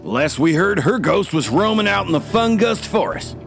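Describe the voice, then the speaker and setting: Creaky, drawling folksy old-timer. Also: male voice, sits high, very animated, moderate pace, music in the background, heavily acted. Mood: folksy, wistful. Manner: folksy rustic drawl, gruffly commanding. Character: folksy backwater old-timer doctor and bossy father. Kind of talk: scripted audio drama acting.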